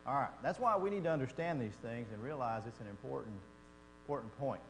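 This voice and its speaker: A man's voice: mid-range voice, fast. A man from Texas with a Texan accent, teaching a church Bible class in a folksy, conversational way and asking the class questions. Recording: echoey hall with mains hum.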